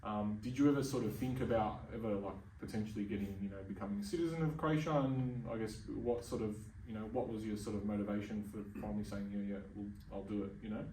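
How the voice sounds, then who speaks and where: A man interviewing a guest, relaxed and rambling, with lots of fillers. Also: on the low side, quick pace, few pauses, roomy sound, distant mic, a little hiss or hum, low-quality recording. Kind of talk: casual interview chat.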